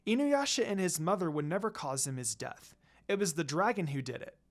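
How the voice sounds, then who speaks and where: A sweet little girl from a fantasy tale, played by the narrator. She is loyal and earnest, eager to help and quick to insist on her point. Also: medium pitch, expressive, natural voice. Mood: insistent.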